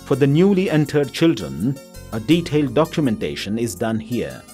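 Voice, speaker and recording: Lively male documentary narrator over music. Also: medium pitch, moderate pace. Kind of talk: scripted narration.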